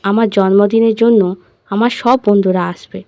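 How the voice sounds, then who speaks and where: A woman's voice: medium pitch, fairly even intonation, moderate pace, mellow, no room sound, natural voice. A woman voicing a possessive, bad-tempered rich young fiancée with a pushy manner in an audio love story. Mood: condescending. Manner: pushy, needling questions.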